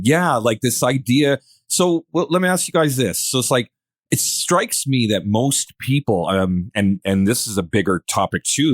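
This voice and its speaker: A man's voice: lowish voice, no echo, studio quality. A man with a Canadian accent hosting a casual podcast discussion, musing conversationally and putting questions to his guests. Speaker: podcast host.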